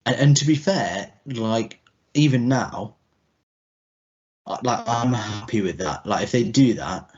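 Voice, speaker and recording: A man co-hosting a podcast in casual chat, talking in a rambling, halting, informal way. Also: mid-range voice, lively intonation, a little mellow. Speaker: podcast co-host. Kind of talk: casual chat about retro cartoon video games. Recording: dull, muffled lo-fi audio.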